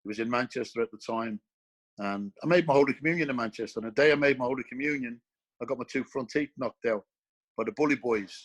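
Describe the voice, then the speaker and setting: A man's voice: mid-range voice, fast. An Irish former boxer answering interview questions in an earnest, emphatic way, often repeating his key phrases for weight. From Ireland. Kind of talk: casual interview.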